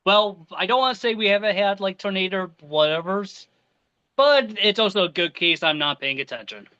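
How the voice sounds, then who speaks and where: A man's voice: high voice, lively intonation, moderate pace, bright, forceful tone, clear, resonant tone, dry recording. Wry man on a dull lo-fi mic. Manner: rambling, hesitant, wry. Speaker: podcast co-host. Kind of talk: casual chat.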